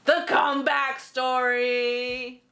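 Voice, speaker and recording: Slow, chatty woman streaming. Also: high voice, level tone, pushed and bright, clean tone. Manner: chatty, loose, reads and translates viewer text; halting non-native Spanish. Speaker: stream host. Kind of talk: casual livestream art critique chat.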